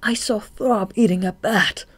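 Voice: medium pitch, unhurried, vocal fry, no echo, voice pushed up. A storybook narrator voicing a frightened, guilt-ridden young male bat with urgent, emotional outbursts. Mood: shaken.

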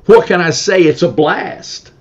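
A man's voice: high voice, a little slow. An older man, a past club president, delivering scripted promotional lines earnestly over a video call from an office. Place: indoor office. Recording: slightly dull lo-fi audio.

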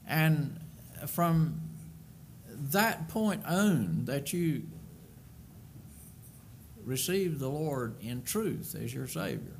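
A man's voice: a little high, lively intonation, drawn-out words, creaky, a little hiss or hum. An older man preaching a sermon from a church pulpit, earnest and emphatic, often repeating words as he builds a point. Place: church sanctuary at a wooden pulpit. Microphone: pulpit microphone.

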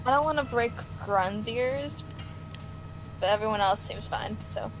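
Higher-pitched woman in casual gaming banter. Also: measured pace, rough recording. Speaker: voice actor in a group game playthrough. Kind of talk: casual group banter over gameplay.

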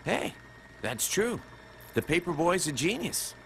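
A man's voice: fairly high pitch, big pitch swings, measured pace, vocal fry, a bit bright, slight background noise, exaggerated voice, rough recording. An actor playing a brash, crude, cocky male assassin in a video game, swaggering, sarcastic and slangy. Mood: casual, impatient. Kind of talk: video game cutscene acting.

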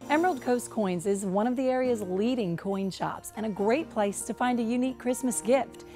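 A woman's voice: a little high, moderate pace, a little mellow, soft background music. A young adult woman working as a TV reporter and host, reading polished scripted broadcast narration in a projected, presentational way.

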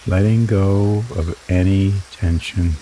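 A man guiding a relaxation meditation in slow, soothing, drawn-out phrases. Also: deep voice, steady tone, warm tone, a little hiss or hum, low-quality recording. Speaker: meditation guide. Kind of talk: guided meditation narration.

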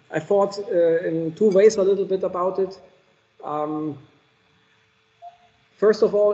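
Mellow-voiced man answering technical questions. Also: a little high, muffled recording. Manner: thoughtful, hesitant, many fillers. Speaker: keynote speaker answering questions.

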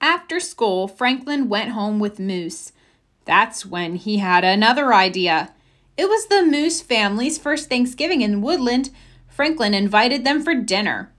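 A woman reading a children's story aloud in an expressive, careful storytelling manner. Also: fairly high pitch, measured pace. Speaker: storybook reader. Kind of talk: children's read-aloud narration.

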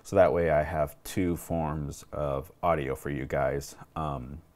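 A man's voice: a bass voice, fairly even intonation, takes it slowly, a little mellow. A man in his thirties on a lapel mic indoors, casually talking a client through things in an informal, explanatory way as a DJ. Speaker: wedding DJ consulting with clients. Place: home room at a table, indoors.

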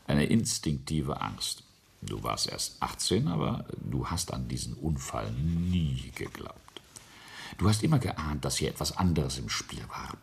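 A ruthless older tycoon in a radio play, with a very low, gravel-filled voice. His intonation swings widely as he speaks in a deliberate, imperious way, coldly laying down the truth to his family. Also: sing-song, exaggerated voice. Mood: probing. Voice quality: gravelly, husky. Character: ruthless, boastful patriarch and self-made industrialist, coldly confessing. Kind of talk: German radio play acting.

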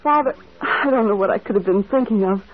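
A woman's voice: mid-range voice, a little fast, close to an everyday voice. Troubled young woman, tinny radio sound an actress. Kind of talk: 1940s radio acting. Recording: muffled, noisy old radio recording.

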